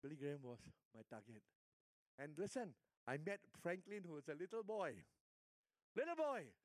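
An older Singaporean pastor delivers a sermon, sliding from hushed, intimate asides to loud, emphatic exhortations. His voice sits a touch high for a man. The sound is close and dry, with almost no room around it. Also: sing-song, moderate pace, dry recording. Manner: storytelling, swings between hushed and emphatic.

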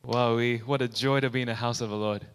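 A man's voice: mid-range voice, steady tone, fast, a little hiss or hum. A middle-aged man, a visiting preacher speaking in short phrases that leave room for an interpreter. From Singapore. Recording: reverberant church hall.

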